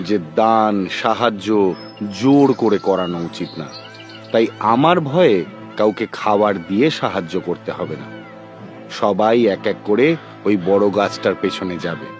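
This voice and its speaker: An actor playing a strict, principled guru in a cartoon, lecturing in a formal, measured and stern manner. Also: male voice, on the low side, lightly acted. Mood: instructive. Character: strict, principled old male guru of a forest school. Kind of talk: dubbed cartoon acting. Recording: music behind the voice.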